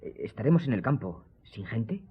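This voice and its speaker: An actor playing a polite, earnest young viscount in a radio drama, speaking with slight hesitation. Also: male voice, medium pitch, very animated, normal speed, husky. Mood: earnest, admiring. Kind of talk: Spanish radio drama acting. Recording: dull lo-fi radio recording.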